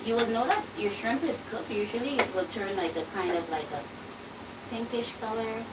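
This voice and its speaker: A woman's voice: fairly high pitch, normal speed, far from the mic. A woman raised in Trinidad with a Trinidadian accent, chatting casually and rambling as she cooks for her cooking channel. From Dominica, raised in Trinidad and Tobago. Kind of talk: casual cooking vlog chat. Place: home kitchen. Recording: loud kitchen noise, voice off-mic. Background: sizzling food frying.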